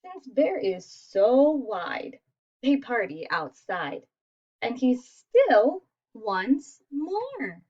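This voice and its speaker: A woman reading a children's picture book aloud as an expressive storyteller who draws listeners in. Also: on the high side, drawn-out words, highs cut off. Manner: expressive, asks listeners questions.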